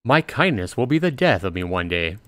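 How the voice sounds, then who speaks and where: A man's voice: on the low side, very animated, brisk, fairly bright tone, no echo. A man livestreaming, reading a visual novel aloud in narration mixed with casual asides. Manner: acts out dialogue between narration and reactions.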